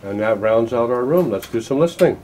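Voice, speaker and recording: A man's voice: medium pitch, expressive, brisk, mellow, faint music behind, low-quality recording. A man reporting and interviewing casually on camera at a trade show in a hotel room.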